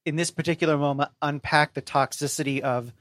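A man's voice: fairly high pitch, steady tone, fairly quick, a bit bright, no echo, clean studio sound. A man guesting on a podcast, discussing a book casually in a wry, analytical way.

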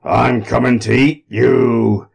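Man acting a storybook giant, gravelly, booming, drawn-out words, relentless hungry menace. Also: little pitch movement, darkish tone, heavily acted. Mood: menacing, hungry. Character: menacing, relentless child-eating male giant.

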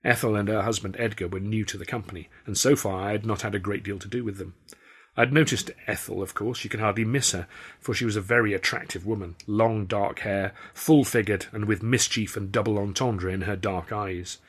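A man's voice: fairly low pitch, fairly even intonation, brisk, breathy edge. A man reading a novel aloud as an author and narrator, in scripted audiobook style.

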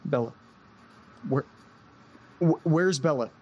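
Actor as a shaken, halting man, husky. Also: fairly high pitch, a little mellow, highs cut off. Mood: agitated, astonished. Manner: halting, earnest, breaking off mid-sentence. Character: distressed man recounting a haunted-house ordeal, desperate to get home. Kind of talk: audio drama acting, naturalistic.

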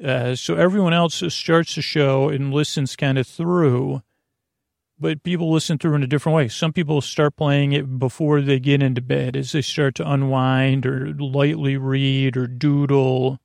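A man hosting a bedtime podcast in a gravelly voice, rambling and halting as he wanders off into tangents. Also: mid-range voice, level tone, a little mellow, no room sound, studio quality. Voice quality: gravelly, creaky. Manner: meandering, trails off into tangents; halting, stumbling delivery. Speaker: podcast host.

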